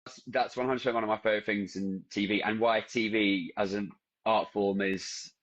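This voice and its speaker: A man interviewing a guest, halting and restarting his phrases, over a video call. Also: fairly low pitch, fairly even intonation, fairly bright tone. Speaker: interviewer, journalist. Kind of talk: casual interview. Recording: dull lo-fi video call audio.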